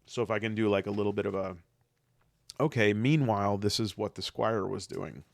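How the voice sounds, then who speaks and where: A man with a fairly low, gravelly voice, recorded close and dry with almost no room sound. He talks through game rules casually and earnestly, stopping and restarting as he thinks, and he sniffles from a cold that's coming on. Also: a little fast, no echo. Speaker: solo tabletop game player and podcaster. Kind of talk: casual rules review, reading aloud and commenting.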